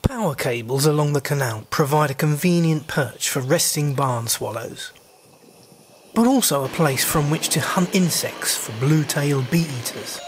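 A man narrating a scripted voice-over in a calm, informative manner. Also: a little high, unhurried, background noise. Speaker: birding vlogger.

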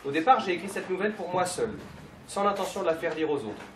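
A man's voice: high-pitched, lively intonation, fast, crowd noise. A man reading a text aloud at a bookshop event. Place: bookshop, indoors. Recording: echoey shop from far off.

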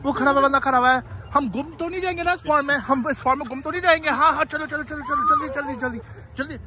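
Excited young man filming on a farm. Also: extremely high pitch, fast talker, background noise. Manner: excited, chatty, hurrying others along. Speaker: vlogger. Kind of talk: casual walking vlog. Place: outdoor farm. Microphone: handheld phone camera.

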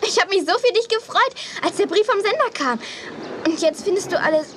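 Casting: chatty, excitable young girl, children's audio play, very high animated voice, faint ambience behind. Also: brisk, slight background noise. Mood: persuading. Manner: exclamatory, eager, slangy. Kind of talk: children's audio drama acting.

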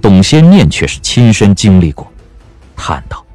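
A man's voice: fairly low pitch, very animated, unhurried, darkish tone, no echo, faint music behind. A man narrating a period audio drama as a storyteller, reading scripted prose dramatically.

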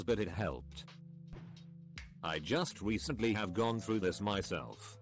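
A man reading a series of online forum comments aloud in a steady, even delivery. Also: fairly low pitch.

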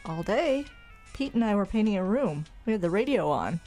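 A woman's voice: medium pitch, sing-song, normal speed, dark tone, faint music behind, lightly acted. A woman playing a worried, caring character in a horror audio drama, speaking with urgency. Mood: puzzled. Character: worried, caring woman, alarmed for her friends.

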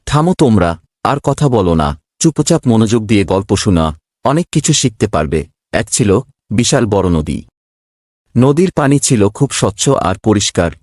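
Male schoolteacher, actor for kids' animated fable: patient, kindly, lowish pitch, close dry sound. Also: moderate pace, no room sound. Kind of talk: children's cartoon storytelling.

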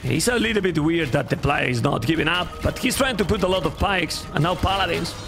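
Animated Spanish-accented man casting a game. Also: a little high, fairly quick, vocal fry. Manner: animated, repeats phrases, talks to chat. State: losing his voice. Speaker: game caster. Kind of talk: live game commentary to chat. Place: home streaming room. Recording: faint game music behind.

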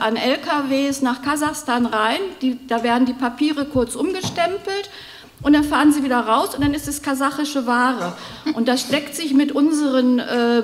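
A middle-aged woman from Germany, standing in the audience of a large hall and making an earnest, hesitant comment into a stand microphone. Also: sits high. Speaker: audience member.

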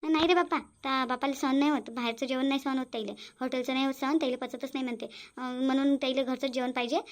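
A woman's voice: high-pitched, steady tone, natural voice. Woman doing a gossiping village mother-in-law. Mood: bossy. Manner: fast scolding chatter. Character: scheming nosy middle-aged village mother-in-law. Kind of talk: cartoon voice acting.

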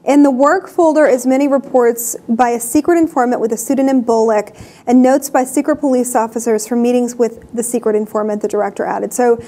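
A woman's voice: on the high side, fairly even intonation, brisk, mellow. Young woman hosting a studio news chat. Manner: chatty, many fillers and restarts. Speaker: news show host. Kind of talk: casual news commentary. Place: TV studio desk. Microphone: lapel mic.

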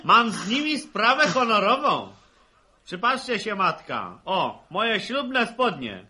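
Young rural joker, very bright forceful voice, high-pitched, theatrical, vintage radio drama. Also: male voice, slow pace, heavily acted. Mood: playful. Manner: clowning, showy, animated announcing. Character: boisterous young farmer, eager amateur village actor. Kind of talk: 1960s Polish radio serial acting.

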